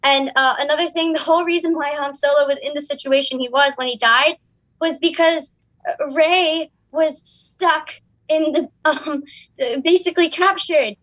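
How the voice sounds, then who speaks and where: A young woman with a high voice rambles her way through an argument, full of fillers and restarts. The sound is dry and close, but a little dull and lo-fi. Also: fairly even intonation, normal speed, a bit bright, no echo. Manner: rambling, hesitant, many fillers. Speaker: guest co-host, daughter of the guest. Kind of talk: casual chat.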